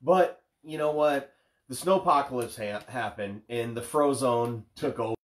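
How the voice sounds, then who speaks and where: A man in his twenties co-hosting a comic book show, nasal from a cold, talking in an excitable, rambling way from a living room couch on a camera mic. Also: mid-range voice, a little slow. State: stuffy nose from a cold. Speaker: podcast co-host. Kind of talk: casual chat. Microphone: far camera. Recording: roomy living-room echo.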